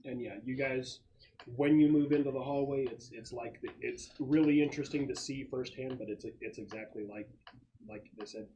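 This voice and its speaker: A man narrating a tabletop role-playing game as game master, pausing to think between phrases. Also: mid-range voice, fairly even intonation, warm tone, highs cut off, slight background noise.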